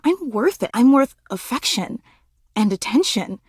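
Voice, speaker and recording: A young woman voicing an excitable, starstruck female fan character in a video game, with dramatic, gushing delivery and casual youthful phrasing. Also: fairly high pitch, a little slow, husky, dry recording, clean studio sound, full character voice. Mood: earnest, tender. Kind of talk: video game voice acting, romance dialogue.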